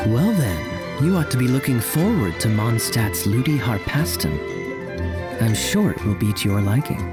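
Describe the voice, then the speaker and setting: An actor playing a suave, teasing knight officer in a video game, with a drawling, ironic and smoothly amused delivery. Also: male voice, mid-range voice, sing-song, normal speed, natural voice. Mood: teasing, breezy. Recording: music under the voice. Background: game background music.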